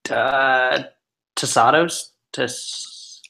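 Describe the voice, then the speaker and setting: A man's voice: medium pitch, steady tone, drawn-out words, vocal fry, sharp tone. A man chatting casually on a video call with a study partner, laid-back and easily distracted, cracking jokes before getting to coursework.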